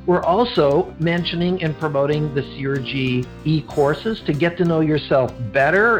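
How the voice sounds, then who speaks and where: A man hosting a podcast interview, rambling with frequent fillers and restarts. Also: fairly high pitch. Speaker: podcast host.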